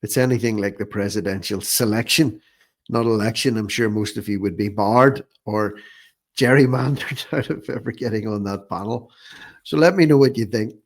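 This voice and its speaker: A man's voice: lowish voice, moderate pace. An Irish man hosting informal political commentary in a sarcastic, scornful manner, often repeating phrases for emphasis. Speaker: online commentator, host.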